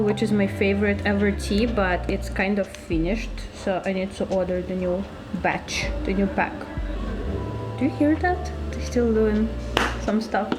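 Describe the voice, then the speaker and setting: A woman's voice: medium pitch, rough recording. Chatty young woman with a Russian accent. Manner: chatty and relaxed, with small slips in English grammar. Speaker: lifestyle vlogger. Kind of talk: casual vlog narration.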